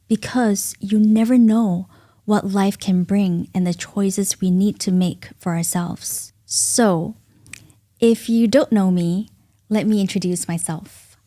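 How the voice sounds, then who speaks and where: A woman with a Singaporean accent hosts a solo podcast in a studio, speaking earnestly and reflectively in deliberate phrases with pauses. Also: medium pitch, mellow. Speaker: podcast host.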